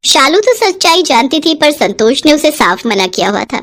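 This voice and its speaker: A woman narrating a cartoon story in a clear, even storytelling manner. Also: sits high, normal speed.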